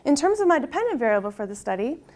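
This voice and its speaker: A soft-spoken middle-aged woman presents her research to a live audience in a slightly higher voice. She leads them through an exercise and tags her points with a rhetorical "right?". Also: big pitch swings, racing. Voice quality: soft-spoken, tries to project. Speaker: conference presenter.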